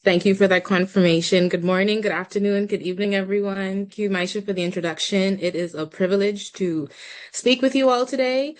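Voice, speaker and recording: A woman's voice: mid-range voice, steady tone, fairly quick. A young woman from the Bahamas presenting slides in a webinar over a video call, politely guiding the audience through a poll.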